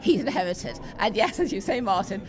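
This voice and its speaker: A woman's voice: medium pitch, sing-song, fairly quick. A middle-aged British woman talking as a podcast interview guest, emphatic and conversational, repeating words for stress. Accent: British English. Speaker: interview guest, pantomime enthusiast and parent. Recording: busy crowd chatter behind. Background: chatter of people at a venue.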